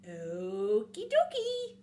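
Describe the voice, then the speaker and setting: A woman's voice: fairly high pitch, wildly swooping pitch, very slow, dark tone, exaggerated voice. A woman voicing a sly, hungry, two-faced male snake in a children's storybook, drawing out words with false reassurance. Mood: falsely agreeable. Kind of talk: children's storybook character reading.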